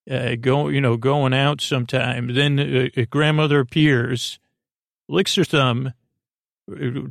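A man hosting a sleep podcast, rambling slowly and softly on purpose to lull listeners. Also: medium pitch, creaky, dry recording, studio quality. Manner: slow, meandering, soft-spoken.

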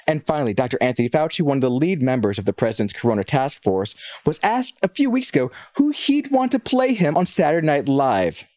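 A man's voice: on the high side, very animated, brisk, hardly any pauses, darkish tone, dry recording, clean studio sound. A man co-hosting, moving between a news update and casual banter in a wry, jokey, colloquial way. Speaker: co-host.

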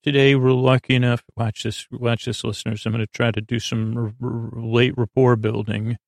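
A man hosting a bedtime podcast in a rambling monologue, halting and stammering, often repeating himself and trailing off mid-word. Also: medium pitch, little pitch movement, no echo. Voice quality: creaky, gravelly. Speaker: podcast host.

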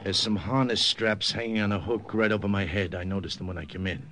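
A man's voice: lowish voice, fairly even intonation, fairly quick, pushed and bright, a little hiss or hum. An actor playing a tough American wartime secret agent who narrates his mission in clipped, hard-boiled radio-drama style. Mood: tense, wry. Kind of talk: 1950s radio drama acting. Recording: old radio broadcast, music underneath.

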